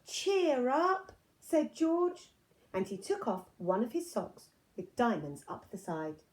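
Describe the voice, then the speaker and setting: A woman reading a children's picture book aloud in an expressive, deliberate storytelling manner. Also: mid-range voice, rough recording.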